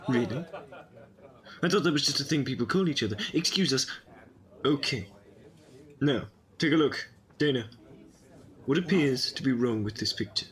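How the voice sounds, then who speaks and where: A man's voice: medium pitch, expressive, normal speed, long pauses, fairly bright tone, natural voice. A man playing a quirky, eccentric time-traveling adventurer in an audio drama, speaking in a whimsical, rambling way. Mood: commanding. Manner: whimsical, rambling, self-interrupting.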